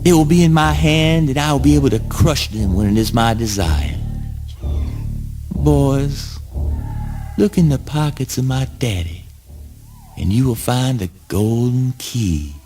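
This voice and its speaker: A man performing a childlike, self-aggrandizing would-be ruler of the earth in a spoken-word play. He declaims slowly and deliberately at a middling male pitch. Music plays clearly beneath his voice. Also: lively intonation, dark tone, natural voice. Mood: imperious. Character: grandiose childlike man named Eugene dreaming of ruling the earth. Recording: music under the voice.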